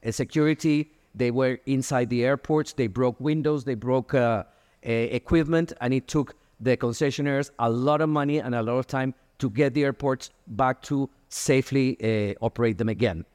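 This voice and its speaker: A man speaking as a panelist at a conference, explaining figures in a measured way with frequent fillers. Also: medium pitch, darkish tone, smooth. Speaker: airline association panelist. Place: conference hall. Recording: reverberant conference hall.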